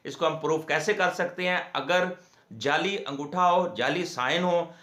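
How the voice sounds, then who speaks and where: A man with a Pakistani accent gives an informal legal explainer in a halting, repetitive, explanatory way, as the host of an advice channel. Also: fairly high pitch, a bit bright. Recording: echoey room.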